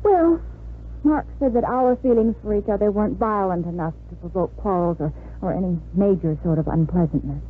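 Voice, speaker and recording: An actress playing a disillusioned young bride-to-be in a 1950s radio drama, with a wry, world-weary manner. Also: female voice, mid-range voice, a little fast, breathy edge, close to an everyday voice. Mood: wry, flat resignation. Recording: muffled, noisy 1950s radio recording.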